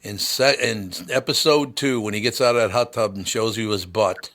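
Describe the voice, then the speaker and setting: A male radio host with a mid-range voice who teases his guests and plays for laughs. He reads the ad copy quickly. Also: lowish voice, fairly bright tone. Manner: jokey, teasing. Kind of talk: radio show hosting.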